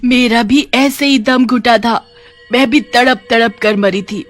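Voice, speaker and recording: A woman voicing the vengeful ghost of a young girl, now a witch, who speaks slowly and with menace. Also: a little high, soft background music, pitched above the performer's own voice, lightly acted. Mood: menacing. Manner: slow, menacing and gloating.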